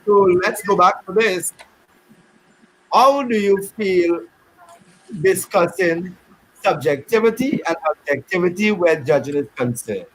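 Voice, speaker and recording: A man's voice: sits high, animated, unhurried, long pauses, rough recording. A man hosting a panel discussion, chatty and joking in a loose, easy way. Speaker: host.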